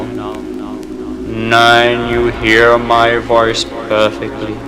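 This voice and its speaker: A man speaking as a hypnotist, counting down in a slow, soothing, repetitive way and then giving firm suggestions. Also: medium pitch, low-quality recording. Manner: commanding suggestions. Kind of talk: hypnosis induction from an old record. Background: music playing under the voice.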